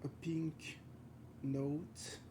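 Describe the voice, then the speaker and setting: A man from France with a French accent, thinking aloud and chatting with viewers while he paints live, halting and searching for words. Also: a little high, flat delivery, noisy background. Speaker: concept art streamer. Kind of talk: live art stream chat.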